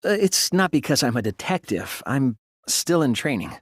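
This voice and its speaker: Casting: earnest, polite teen boy detective for an anime game, timid yet resolute, very animated intonation, dry studio sound. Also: mid-range voice, moderate pace, slightly rough, no room sound. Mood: calm, analytical. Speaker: video game voice actor. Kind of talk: English anime game dub acting.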